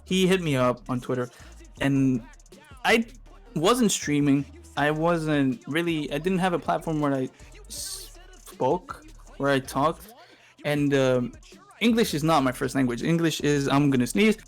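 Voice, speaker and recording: A man's voice: a little high, unhurried, soft background music. A man whose first language is Spanish, chatting as a podcast guest over a call, hesitant and full of filler sounds, laughing often. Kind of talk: casual chat.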